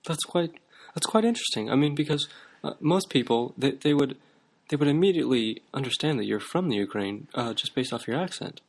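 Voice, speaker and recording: A man's voice: medium pitch, expressive, brisk. A young man co-hosting a comedy talk show, speaking in a dry, mock-formal, somewhat hesitant way. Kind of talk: absurdist deadpan comedy chat.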